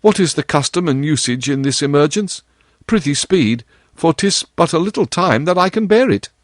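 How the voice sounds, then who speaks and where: A man puts on a young storybook prince with a raised, lively pitch. The boy is polite and earnest, troubled yet courtly in old-fashioned wording. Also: normal speed, no room sound, studio quality, lightly acted. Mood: anguished, pleading.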